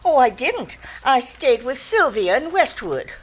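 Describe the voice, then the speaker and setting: Nervous, evasive rich wife in a radio mystery; high, very lively pitch, flustered and defensive. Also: female voice, a little slow, a little hiss or hum. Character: anxious, evasive, well-to-do married female client. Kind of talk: detective radio drama acting.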